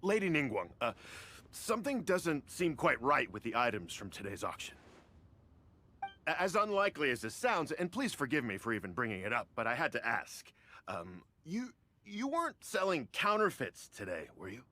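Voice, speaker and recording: An actor voicing an eager male fan who overpaid at an auction in a game, excitable and stammering when uneasy. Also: medium pitch, normal speed, heavily acted. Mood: nervous. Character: eager male fan bidder, nervous yet earnest. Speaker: auction buyer. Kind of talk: game voice acting.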